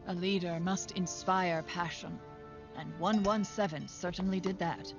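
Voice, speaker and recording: An actress playing a cool middle-aged female scientist who narrates her memories in a measured, reflective way with a creaky voice, in an animated series. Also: on the low side. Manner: measured, deliberate. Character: older female scientist recalling children she trained, cool, reflective. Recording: dull sound under loud music.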